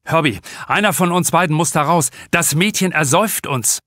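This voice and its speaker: A man's voice: on the high side, normal speed, pushed and bright, dry recording, studio quality, pitched above the performer's own voice. A man voicing an urgent, blunt young male lifeguard in an audiobook. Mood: alarmed. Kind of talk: audiobook character dialogue.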